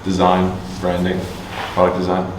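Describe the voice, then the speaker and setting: A man from Canada answering interview questions casually, halting with many fillers and restarts. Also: low voice, monotone. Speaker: musician and agency owner. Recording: distant mic, echoey room, faint hum.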